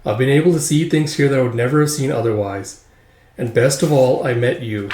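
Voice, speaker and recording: A man's voice: medium pitch, fairly quick, darkish tone, a little hiss or hum, lightly acted. A man voicing a weary fighter who speaks solemnly and from the heart in a comic read-along. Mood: solemn, tender. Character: weary, sincere fighter man looking back on life. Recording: roomy, echoing sound.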